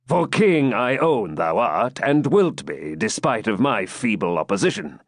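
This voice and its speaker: A man voicing a stern, proud old Saxon lord and father in a forceful, declamatory storybook manner with archaic speech. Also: lowish voice, sing-song, measured pace, no room sound, clean studio sound. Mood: bitter.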